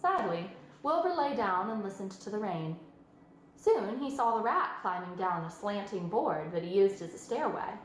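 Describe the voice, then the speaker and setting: A woman reading a children's book aloud as an expressive storyteller. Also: mid-range voice, normal speed. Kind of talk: expressive scripted narration. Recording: very echoey room with faint noise.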